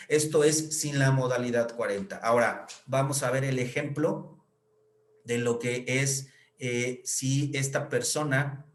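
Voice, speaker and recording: A man's voice: medium pitch, fairly even intonation, unhurried. Mexican man explaining in an echoey room. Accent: Mexican Spanish. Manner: explanatory, many fillers and tag questions. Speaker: accountant explaining pension reform. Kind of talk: explanatory lecture.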